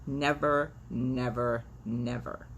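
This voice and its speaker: A woman's voice: extremely low pitch, fairly even intonation, slow, highs cut off, a little hiss or hum. A woman voicing submissive storybook farm animals who speak in an earnest, cautionary manner. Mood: grave warning. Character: cautious, submissive barnyard animals warning a newcomer.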